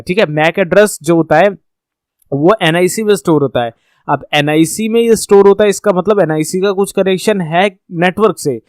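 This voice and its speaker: A man with an Indian accent and a high voice teaches in an informal, explanatory way. He goes step by step, restating each point for his learners. The recording is close and dry. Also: no echo. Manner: informal, repetitive step-by-step explaining with check-in tags. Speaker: instructor. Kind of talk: explanatory tech lesson with slides, addressing learners.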